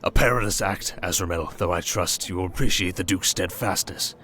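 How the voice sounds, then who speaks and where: Man acting as a pompous old king, very deep and sharp. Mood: cold accusation. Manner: pompous, formal, measured and accusing. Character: stern, scheming old foreign king, father-in-law. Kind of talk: dramatic fantasy audio roleplay.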